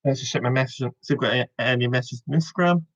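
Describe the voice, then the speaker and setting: A young Scottish man hosting a quiz over a video call, often stopping mid-sentence to correct himself and throwing in jokes. His voice sits at an everyday middle pitch, and the audio sounds dull and slightly lo-fi. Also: racing. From Scotland. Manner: rambling, self-correcting, jokey. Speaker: quiz host. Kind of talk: casual online quiz hosting.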